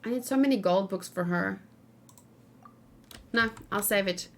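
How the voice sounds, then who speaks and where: A woman's voice: medium pitch, a little slow. Casual woman streaming a game, thinking aloud. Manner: thinking aloud, animated exclamations. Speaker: gaming streamer. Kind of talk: casual live game commentary, chatting with viewers.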